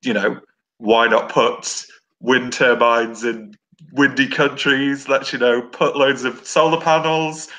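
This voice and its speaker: A young man moderating a webinar over a video call, speaking briskly and persuasively with many fillers. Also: mid-range voice, steady tone, sharp tone. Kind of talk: casual discussion. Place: indoor room with brick wall. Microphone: video call webcam.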